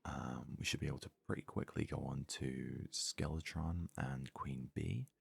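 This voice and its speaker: A man doing hushed ASMR gaming commentary with a gravelly, husky voice, casually musing aloud close to the microphone. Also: a bass voice, steady tone, moderate pace. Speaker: let's play host.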